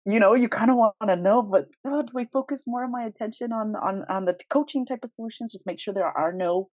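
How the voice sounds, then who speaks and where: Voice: mid-range voice, brisk, no room sound. Podcast co-host thinking aloud, slightly muffled dry sound. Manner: thinks aloud in rambling questions, chuckling lightly. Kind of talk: casual podcast conversation.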